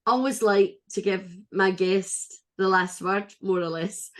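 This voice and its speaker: A woman's voice: mid-range voice, steady tone, a little slow. A Scottish woman hosting a podcast interview, talking in an earnest, chatty way with frequent restarts. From Scotland. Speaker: podcast host. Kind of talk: casual interview chat.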